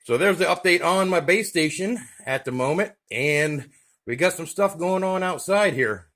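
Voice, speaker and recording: A man's voice: on the high side, bright, forceful tone. A man with an American accent talking casually to his viewers in a folksy, chatty way, like a hobbyist vlogger. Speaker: YouTube vlogger, CB radio hobbyist. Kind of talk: casual vlog talk to camera.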